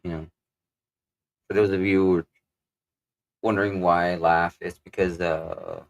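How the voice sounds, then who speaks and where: A man with a Kapampangan accent co-hosts a relaxed livestream. His voice sits on the low side, and he reads viewer comments aloud in a meandering, easygoing way, with plenty of "um" and "you know what I'm saying". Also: unhurried, creaky, rough recording. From Philippines. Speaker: livestream co-host. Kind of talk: casual livestream chat, reading viewer comments.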